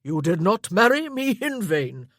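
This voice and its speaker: A woman voicing a boisterous, jovial elderly nobleman who booms and roars his words. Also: sing-song, a little slow, dry recording, clean studio sound. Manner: booming, roaring with laughter.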